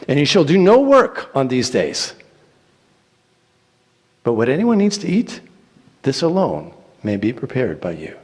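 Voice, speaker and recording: A man giving a solemn, declamatory dramatic reading of scripture in deliberate phrases. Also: mid-range voice, slightly rough. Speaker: church reader reciting scripture. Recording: echoey hall with long reverb.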